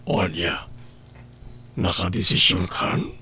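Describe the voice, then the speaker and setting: A man playing an earnest lover and confidant in an Ilocano radio drama, with a husky voice. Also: sits low, takes it slowly, sharp tone, heavily acted. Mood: earnest, persuasive.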